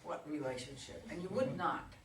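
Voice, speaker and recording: A woman's voice: low-pitched, lively intonation, normal speed. A woman playing a blunt, contrary dance partner in a staged play reading. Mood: argumentative. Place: echoey room at a live reading. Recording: roomy, distant mic, faint noise.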